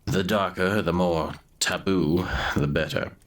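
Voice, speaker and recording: A man playing a haunted, guilt-ridden young grave robber who narrates his ruin in a brooding, deliberate way for an audio drama, with noise behind him. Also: sits low, fairly even intonation. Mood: grim, reflective. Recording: clear background noise under the voice.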